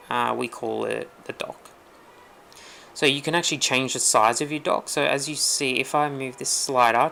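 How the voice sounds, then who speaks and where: Man, casual tech tutorial host, gravelly fry, easygoing and loose with plenty of ums. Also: mid-range voice, a little hiss or hum. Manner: relaxed, rambling, many ums. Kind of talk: casual screen-recorded walkthrough.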